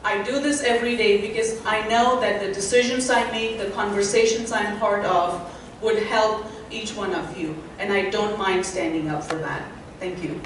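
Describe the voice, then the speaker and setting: A woman's voice: mid-range voice, fairly even intonation, normal speed, background noise. A middle-aged woman with an Indian accent, speaking earnestly as a panelist at a symposium. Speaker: panelist, associate dean. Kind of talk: panel talk to a symposium audience. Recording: echoey hall from far off.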